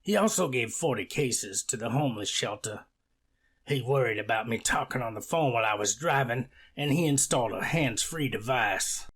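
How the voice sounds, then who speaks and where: A man's voice: medium pitch, animated, moderate pace, a bit bright, husky. A man playing a devoted 79-year-old mother giving an earnest, plain statement in a court reenactment. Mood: earnest, caring. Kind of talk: amateur readers theater court transcript reenactment.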